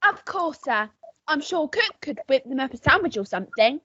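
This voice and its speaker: A woman's voice: sits high, big pitch swings, moderate pace, sharp tone, no room sound, exaggerated voice. A woman voicing a polite, hard-of-hearing English housemaid in an amateur melodrama radio play. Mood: polite, oblivious. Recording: slightly dull lo-fi recording.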